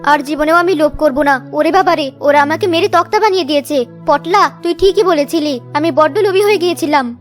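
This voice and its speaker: A woman voicing a bossy, greedy, sharp-tongued village sister-in-law in a children's cartoon. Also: high voice, a little fast, few pauses, natural voice. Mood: remorseful. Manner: scolding, imperious. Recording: music under the voice. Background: children's music.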